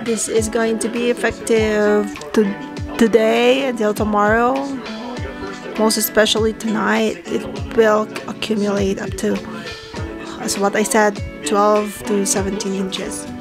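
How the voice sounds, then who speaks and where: A woman in her thirties speaks slowly and chattily to her viewers, her voice gravelly with a touch of fry. She films herself on a handheld camera at arm's length, first in a hotel room and then out on a balcony. Music plays clearly behind her. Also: medium pitch, completely flat delivery. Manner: chatty, addresses viewers as guys. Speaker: travel vlogger. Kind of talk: casual travel vlog.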